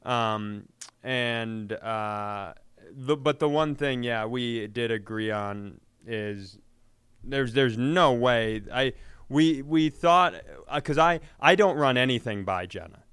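Smooth, low-voiced man chatting on a podcast. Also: slow pace, a bit bright, dry recording. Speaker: podcast co-host. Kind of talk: casual comedy podcast chat.

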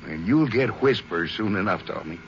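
An actor playing a friendly, folksy rancher in a 1950s radio western, with an avuncular drawl. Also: male voice, low voice, lively intonation, normal speed. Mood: genial, coaxing. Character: folksy, friendly unshaven rural Texas rancher. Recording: dull, noisy old 1950s radio transcription.

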